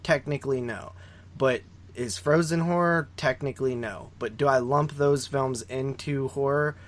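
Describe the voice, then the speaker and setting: A man with a medium-pitched voice chats casually as a podcast co-host. He rambles through his opinions on films and tends to repeat words when he wants to stress a point. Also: normal speed. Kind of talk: casual conversational film discussion.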